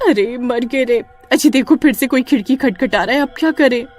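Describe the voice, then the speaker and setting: A woman voicing a frightened but brave young wife with shrill, dramatic exclamations. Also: normal speed, darkish tone, faint music behind, natural voice. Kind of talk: Hindi storybook voice acting.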